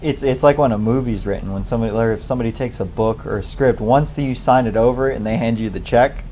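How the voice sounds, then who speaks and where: A man co-hosting an interview, asking halting questions and searching for his words. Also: medium pitch, a little mellow, a little hiss or hum. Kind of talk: casual interview chat.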